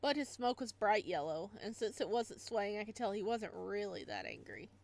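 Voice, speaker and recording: A woman's voice: medium pitch, sing-song, normal speed, gravelly. A woman hosting a playthrough, reading visual novel narration aloud expressively, with casual asides.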